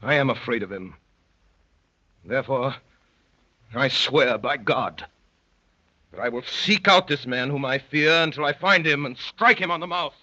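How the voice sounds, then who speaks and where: An actor playing an intense undercover detective, measured and theatrical, with a husky voice, in 1930s radio drama style. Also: male voice, mid-range voice, sharp tone. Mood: fervent. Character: intense young undercover detective, narrating his own adventure. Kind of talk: 1930s radio acting, dramatic first-person narration. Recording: dull, muffled old 1930s radio recording.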